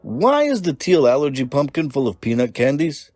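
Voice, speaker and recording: A man's voice: mid-range voice, sing-song, moderate pace, darkish tone, no echo, faint music behind. A man doing a whiny cartoon monster kid. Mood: bratty. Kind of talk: animated cartoon acting.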